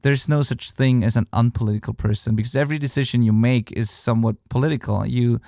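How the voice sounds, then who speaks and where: A podcast host, a man talking in English, which is not his first language. He sounds earnest and a bit rambling, often restarting his sentences, with a gravelly fry in his voice. The sound is close and dry, with no room around it. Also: mid-range voice, steady tone, quick pace, dark tone, no room sound. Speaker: podcast host, mixing engineer. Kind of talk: podcast outro monologue.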